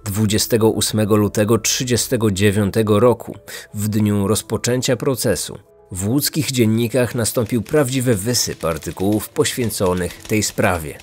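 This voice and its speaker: A man's voice: fairly low pitch, normal speed, darkish tone, husky. A man hosting a podcast, reading scripted true-crime narration. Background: faint music.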